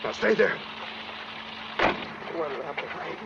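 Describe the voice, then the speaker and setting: A man's voice: a little high, lively intonation, measured pace, gravelly. An American man playing a commanding, sardonic armed killer in a 1940s radio suspense drama. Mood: menacing. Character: menacing gun-toting male killer posing as a doctor. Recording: noisy muffled 1940s radio recording.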